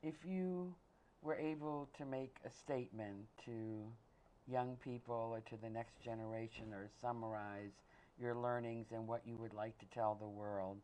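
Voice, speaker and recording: A woman born in Austria hosting an interview, asking questions in a measured, earnest way. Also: extremely low pitch, steady tone, warm tone, a little hiss or hum, poor audio. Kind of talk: community TV interview.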